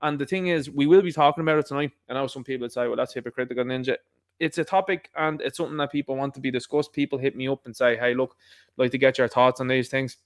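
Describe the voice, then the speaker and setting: An Irish man hosting his own show, talking through current events in a casual, animated monologue and acting out what other people say. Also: mid-range voice, quick pace. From Ireland. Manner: conversational and animated, mimicking others in asides. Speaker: host.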